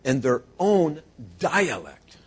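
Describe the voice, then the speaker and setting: A man preaching in short, punchy phrases and stopping after each one for an interpreter. His voice sits a bit high for a man, has a husky edge and swings widely in pitch. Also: big pitch swings, slow. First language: English. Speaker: guest preacher. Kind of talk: sermon with live interpreter.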